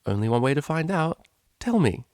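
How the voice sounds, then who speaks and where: Man voicing a prim, indignant female pony, pitched up. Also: very animated, moderate pace, a little mellow, no echo, studio quality. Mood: teasing.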